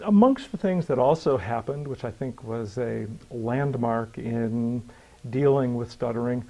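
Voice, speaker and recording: An older man telling his own story in a measured, reflective way, with fillers and a slight stammer in places. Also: medium pitch, warm tone, poor audio. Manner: measured, thoughtful, with pauses and fillers.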